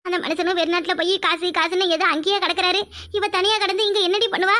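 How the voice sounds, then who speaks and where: Complaining wife, played by a woman, very high and bright, rapid gossipy chatter. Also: little pitch movement. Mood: aggrieved. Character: aggrieved village wife gossiping about in-laws. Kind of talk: village family comedy skit.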